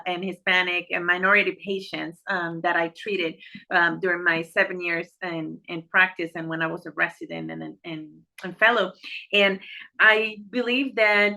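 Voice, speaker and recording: Casting: middle-aged Puerto Rican-accented woman, Spanish native, earnest conversational interviewee who doubles back on words, very bright and forward voice. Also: fairly low pitch, low-quality recording. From Puerto Rico. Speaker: interview guest, neurologist and medical director. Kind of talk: conversational interview answers about life and work.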